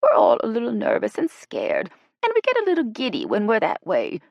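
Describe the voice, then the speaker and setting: Woman putting on a bossy, excitable woman, swooping pitch. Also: a little fast, gravelly, dry recording, muffled recording, full character voice. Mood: flustered, apologetic. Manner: snappy, emphatic. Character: bossy, excitable woman scolding her friends. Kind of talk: audiobook character acting.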